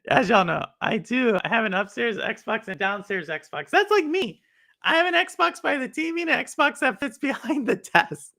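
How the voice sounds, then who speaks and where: A woman's voice: on the high side, lively intonation, normal speed, gravelly, fairly bright tone, dry recording, clean studio sound. A woman from Texas with a Texan accent, chatting casually on a live stream as host, reading chat and laughing.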